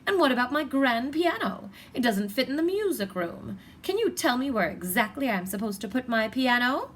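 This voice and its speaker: Woman acting an indignant, scolding lady, higher pitched. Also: very animated, moderate pace, a little hiss or hum. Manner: scolding, emphatic. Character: indignant lady resident complaining to her architect.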